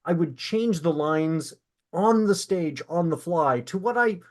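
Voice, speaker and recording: A man hosting a podcast in a casual, conversational way, telling stories in an animated manner. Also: on the high side, measured pace. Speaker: podcast host. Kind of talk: casual chat.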